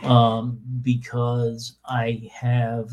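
Slow, flat-voiced man with halting speech. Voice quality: voice between baritone and tenor. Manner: halting, many fillers and restarts. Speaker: podcast host. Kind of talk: casual explanatory talk. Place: small room with soundproofing under way.

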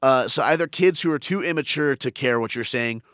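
A man who hosts a comedy podcast, telling stories in animated casual chat and acting out crowd voices. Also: medium pitch, a little fast, no echo, studio quality. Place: podcast studio.

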